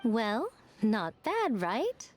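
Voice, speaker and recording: A playful, poised lady announcer from a video game. She speaks high with sweeping theatrical intonation and polish, over faint music. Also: wildly swooping pitch, drawn-out words, darkish tone, exaggerated voice. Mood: smug. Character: poised, playful, sly woman announcing festival ceremonies. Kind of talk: video game cutscene acting.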